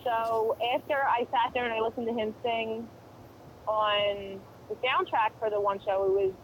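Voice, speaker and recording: A woman's voice: fairly high pitch, fairly even intonation, moderate pace, a little hiss or hum, low-quality recording. A woman co-hosting a podcast, chatting casually and rambling with opinions and fillers. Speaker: podcast co-host. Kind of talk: casual chat.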